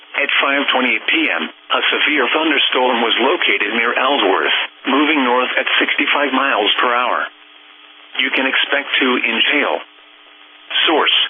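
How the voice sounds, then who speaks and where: A synthetic American male voice reading scripted emergency weather bulletins in a formal, impersonal, measured manner. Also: mid-range voice. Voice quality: synthetic text-to-speech voice. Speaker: automated weather radio announcer. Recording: noisy, narrow-band weather radio broadcast.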